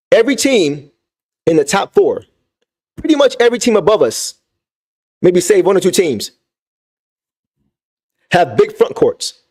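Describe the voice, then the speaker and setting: A young man with a voice going hoarse, hosting a livestream and venting about basketball, repeating his points with emphasis and talking to the chat. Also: high-pitched, a little mellow. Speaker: sports fan channel host. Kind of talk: livestream sports rant.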